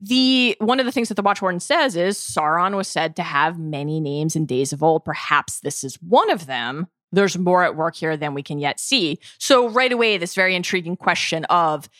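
A woman co-hosting a podcast in casual chat, excitable and laughing, her voice jumping up when amused. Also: normal speed, few pauses, no room sound, clean studio sound. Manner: excitable, laughing, jumps up in pitch. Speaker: podcast co-host.

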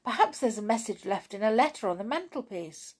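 Lady playing a cheery comforting toy girl, high and bouncy, kids storybook. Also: expressive, a little mellow, breathy edge. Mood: reassuring. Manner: brisk, comforting reassurance.

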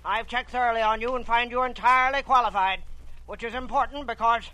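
A man's voice: extremely high pitch, steady tone, a little fast, bright, forceful tone, low-quality recording. An actor playing a sickly, domineering old rich man in 1940s radio drama, brusque, formal and scornful. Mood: imperious, impatient.

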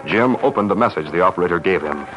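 A deep-voiced American man narrates an old-time radio western with dramatic, measured delivery and lively intonation. The recording is muffled, with prominent music behind him. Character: measured storyteller of a western adventure.

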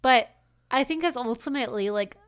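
A woman's voice: high-pitched, fairly quick, fairly bright tone, clear, resonant tone. A woman co-hosting a podcast, chatting casually and acting out quoted lines with lots of "like" fillers. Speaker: podcast co-host. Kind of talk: casual chat.

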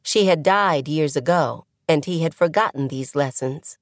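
A woman narrating a scripted essay as an audiobook reader, with measured, deliberate phrasing and pauses. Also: low-pitched, dry recording, clean studio sound.

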